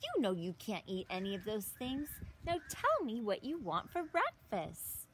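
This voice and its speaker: Slow, very lively woman reading to children. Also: mid-range voice. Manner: expressive, exaggerated gasps and drawn-out words for children. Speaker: teacher reading aloud to young children. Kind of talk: animated picture-book read-aloud. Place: outdoors in a leafy garden. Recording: outdoor recording with some ambient noise.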